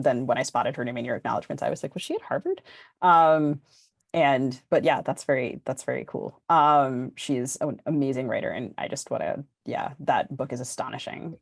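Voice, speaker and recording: A woman hosting a podcast, talking casually about books in a halting way with lots of filler words. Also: a bass voice, no echo, studio quality. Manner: halting, filler-heavy, frequent "like". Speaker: podcast host. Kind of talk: casual literary discussion.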